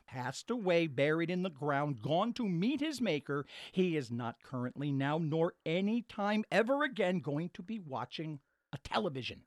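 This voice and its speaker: An exasperated, sarcastic son on a customer service call. His pitch swings widely as he argues his case. It is comic audio drama with a very dry, studio-close sound. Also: no echo. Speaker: caller. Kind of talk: comedic audio drama acting.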